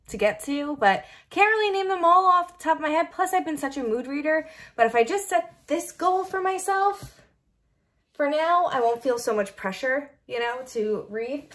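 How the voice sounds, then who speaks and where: A woman chatting casually to viewers as a book vlogger, enthusiastic and effusive, leaning on emphatic repetition. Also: medium pitch.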